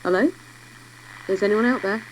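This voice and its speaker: A woman's voice: mid-range voice, normal speed, slight background noise, close to an everyday voice, low-quality recording. A woman playing a lone person radioing out from Earth in an audio drama, calling out repeatedly in a projected voice. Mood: searching, calling out.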